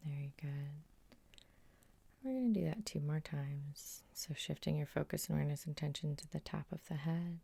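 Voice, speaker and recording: A woman hypnotherapist guiding an ASMR sleep hypnosis in a soft, soothing half-whisper with slow, lingering phrases. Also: deep voice, vocal fry, husky. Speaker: hypnotherapist and host.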